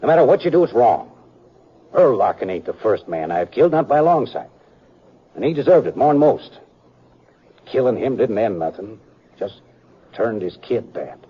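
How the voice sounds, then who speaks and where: A man's voice: lowish voice, very animated, moderate pace, long pauses, husky. An American man playing a weary, troubled sheriff in a radio western, plain-spoken and folksy. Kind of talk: 1950s radio western acting. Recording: muffled 1950s radio recording, faint noise.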